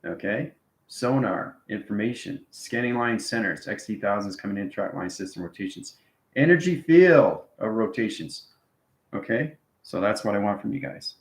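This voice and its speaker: Low-voiced man teaching in an echoey room. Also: expressive, normal speed. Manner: repetitive, keeps checking with "okay" and "right". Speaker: online teacher of a spiritual live stream. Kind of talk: informal live lesson to viewers. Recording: roomy, echoing room sound.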